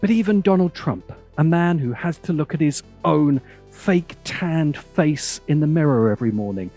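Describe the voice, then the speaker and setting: A British man delivers a scripted video essay over background music. His voice is fairly high and slightly husky, with a rough edge to it. He speaks with sardonic, deliberate theatricality. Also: lively intonation, dark tone.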